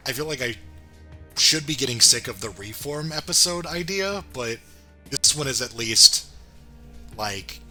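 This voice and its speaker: A man co-hosting a casual review, agreeable and hesitant as he thinks out loud. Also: medium pitch, lively intonation, slow pace, pauses often, faint music behind. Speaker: co-host of a cartoon review show.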